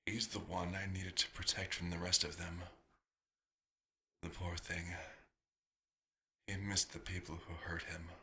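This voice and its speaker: A man playing a nostalgic, proud vampire doctor in an ASMR roleplay, musing theatrically and slipping into breathy whispers. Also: low-pitched, little pitch movement, measured pace, pauses often, sharp tone, exaggerated voice. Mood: wistful, worried.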